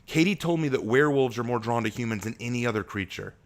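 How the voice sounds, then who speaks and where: A man's voice: medium pitch, lively intonation, fast talker, lightly acted. A man doing a stammering, timid young man. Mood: upset. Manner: hesitant, stammering. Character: timid young human man, insecure and earnest.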